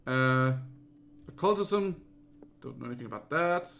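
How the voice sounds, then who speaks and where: A man's voice: mid-range voice, expressive, a little slow, creaky, fairly bright tone. A man from Denmark with a Danish accent, giving casual, chatty let's play commentary as a streamer who gets easily spooked.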